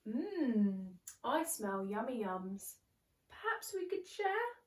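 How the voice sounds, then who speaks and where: A woman's voice: a little high, very animated, slow, recorded from a distance. Woman doing a friendly grinning badger. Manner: friendly, sniffing. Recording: echoey room, away from the mic.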